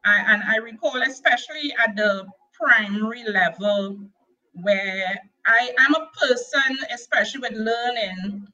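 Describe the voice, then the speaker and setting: A woman from Tobago with a Tobagonian accent tells her life story as an interview guest. Her voice is very bright and forward. She speaks gently, pausing often with fillers and restarting her sentences, and the recording sounds a little dull. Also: on the high side. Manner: soft-spoken, hesitant with many fillers and restarts. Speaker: guest sharing her testimony. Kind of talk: personal testimony interview.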